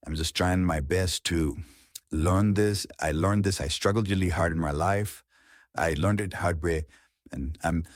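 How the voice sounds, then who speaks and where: Low-pitched Indian man giving instruction. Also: dry recording, clean studio sound. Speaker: public speaking coach. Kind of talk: informal instructional talk on public speaking.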